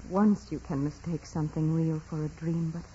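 An actress playing a devout, gentle peasant girl, polite and steadfast, with a soft husky voice in a 1940s radio drama. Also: deep voice, fairly even intonation, moderate pace, a little hiss or hum, natural voice. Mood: earnest. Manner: polite, earnest, simple. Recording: dull vintage radio broadcast.

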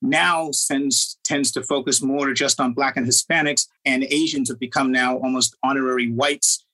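A man from Trinidad asks a long question at a debate, pausing often and filling gaps with ums. His voice is mid-pitched with a bright tone. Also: fairly even intonation, low-quality recording. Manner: hesitant, many fillers. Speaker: journalist asking a question.

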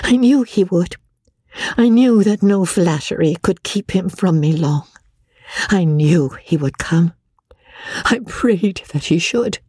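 A woman reading aloud as a hurt young wife who accuses her false friend, speaking in a breathy, trembling voice. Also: on the low side, expressive, takes it slowly, darkish tone, no room sound, clean studio sound, pitched above the performer's own voice, exaggerated voice. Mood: reproachful.

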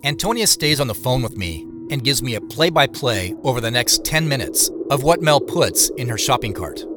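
A man's voice: mid-range voice, lively intonation, moderate pace, over music, natural voice. An actor playing an anxious, self-blaming man in a naturalistic audio drama. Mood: matter-of-fact narration. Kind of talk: naturalistic audio drama acting with first-person narration.

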